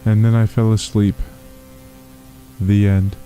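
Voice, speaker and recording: A man's voice: fairly low pitch, level tone, slow, dark tone, slight background noise, rough recording. A man in his twenties giving jumpy, chatty reaction commentary, easily spooked. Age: 20s. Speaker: reaction video host. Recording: music behind the voice.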